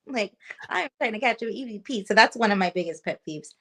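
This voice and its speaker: A woman's voice: mid-range voice, lively intonation, brisk, bright, forceful tone. A woman guest in a casual interview, a paranormal investigator who chats loosely, laughs often and says "like" a lot. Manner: chatty, laughing, lots of "like".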